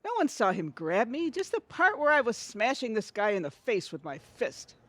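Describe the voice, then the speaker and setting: A woman's voice: a little high, big pitch swings, moderate pace, dry recording. A woman acting in an audio comedy as a prim, self-righteous housewife, easily outraged, protesting in a huffy, melodramatic way. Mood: defensive, disgusted.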